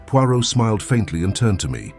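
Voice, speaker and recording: A man narrates an audiobook in a deep, low voice. His pitch moves expressively through the scripted first-person storytelling. Quiet music sits behind the reading. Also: big pitch swings, brisk. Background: soft music.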